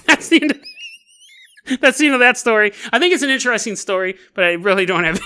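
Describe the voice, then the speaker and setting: A man hosting a solo podcast, telling stories in a casual, jokey, animated way. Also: high voice, clean tone. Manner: animated, jokey, laughs at own asides. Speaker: podcast host. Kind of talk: casual comedic storytelling, solo podcast narration.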